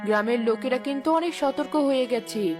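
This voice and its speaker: A woman's voice: high voice, brisk, close to an everyday voice. A woman voicing a bossy, cautious demon wife in a cartoon story, commanding, with music behind her. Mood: stern, cautious.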